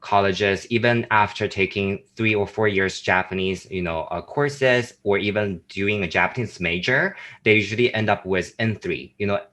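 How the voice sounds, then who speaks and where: A young man from China with a Chinese accent, chatting as a guest on a video call, lively and full of fillers and restarts. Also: on the low side, normal speed, hardly any pauses. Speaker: guest, YouTuber. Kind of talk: casual chat on a video call.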